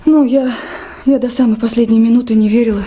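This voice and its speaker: A naive young woman of a river crew in a Soviet radio drama. Her voice is high, mellow and husky. She speaks haltingly and earnestly, as someone shaken. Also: steady tone. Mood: shaken, hurried recounting.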